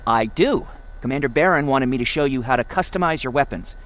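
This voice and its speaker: An actor playing a fussy, eager laboratory director in a video game, explaining things in a slightly pedantic way. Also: male voice, medium pitch, lively intonation, a little fast, fairly bright tone, close to an everyday voice. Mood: keen, explanatory. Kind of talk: dramatic video game acting.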